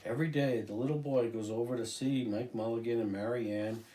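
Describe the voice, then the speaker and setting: A man's voice: mid-range voice, brisk. An older man expressively reading a children's picture book aloud as a storyteller. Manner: expressive, dramatic, with occasional stumbles. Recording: roomy echo with music behind.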